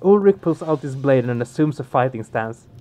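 A man's voice: medium pitch, animated, normal speed, dark tone, soft background music. A man hosting a game let's play, expressively reading the story aloud and reacting to it.

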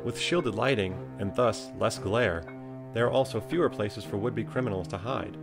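A man's voice: fairly low pitch, moderate pace. A man with an American accent reading scripted documentary narration. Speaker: narrator and nature photographer. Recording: music behind. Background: music.

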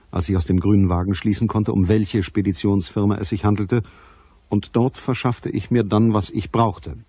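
A man's voice: fairly low pitch, warm tone, breathy edge, no room sound. An actor playing a matter-of-fact private detective who narrates his case in a radio crime play.

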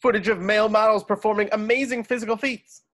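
A man's voice: sits high, fairly even intonation, quick pace, a bit bright. A man narrating scene directions from a script at an informal online table read. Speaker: narrator reading stage directions. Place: indoors at home on a video call. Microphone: video call.

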